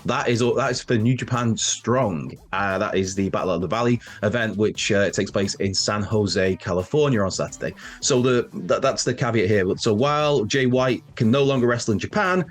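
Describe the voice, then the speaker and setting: A man's voice: lowish voice, moderate pace, few pauses. A man hosting a wrestling news show, sounding nasal and bunged up from a cold, rambling and correcting himself as he chats. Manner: rambling, self-correcting, chatty with asides. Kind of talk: casual news chat to camera.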